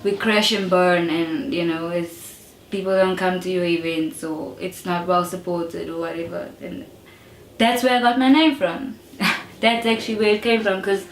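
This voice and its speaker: A woman's voice: on the low side, steady tone, normal speed. A young South African woman, a rap artist, talking openly and emphatically in an interview, often adding "you know". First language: English. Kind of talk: casual interview talk. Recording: roomy echo with faint music behind.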